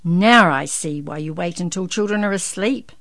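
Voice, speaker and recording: A woman's voice: lowish voice, normal speed, a bit bright, no room sound. A woman voicing an eager, polite little boy in a children's story. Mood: grateful. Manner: eager, wide-eyed.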